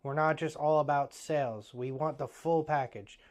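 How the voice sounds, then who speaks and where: Blunt thirty-something man, smooth voice. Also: on the high side, steady tone, normal speed. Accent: Eastern Pennsylvania. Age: 30s. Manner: blunt, motivational, many fillers. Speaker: plumbing business owner. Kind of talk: casual business talk.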